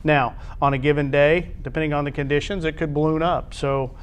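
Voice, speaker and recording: Higher-voiced American man talking school sports. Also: steady tone, a little fast, a little hiss or hum. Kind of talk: conversational sports commentary.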